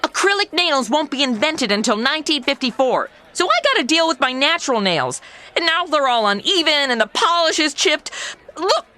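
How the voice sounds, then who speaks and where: Casting: self-absorbed, snarky young woman in comic audio drama, bright forceful tone, swooping petulant delivery. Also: high voice, very animated, moderate pace, exaggerated voice. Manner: sarcastic, petulant, valley-girl phrasing. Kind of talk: comedy audio drama acting.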